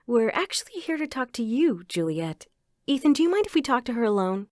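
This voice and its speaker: A woman's voice: on the high side, very animated, a little fast, no echo, clean studio sound. A woman playing a composed, inquisitive police inspector in an audio crime drama. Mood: probing.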